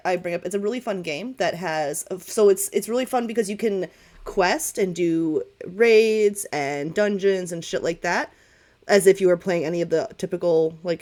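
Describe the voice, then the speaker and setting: A woman's voice: lowish voice, moderate pace, darkish tone. A woman narrates a tabletop roleplaying game as its game master. Her talk is casual and candid, wandering through asides with the odd curse. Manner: rambling, candid, swears casually.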